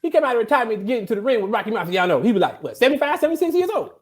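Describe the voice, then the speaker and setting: A woman's voice: on the high side, big pitch swings, rapid-fire, darkish tone. A woman playing an opinionated, boastful old male barber in a comedy table read, folksy and argumentative.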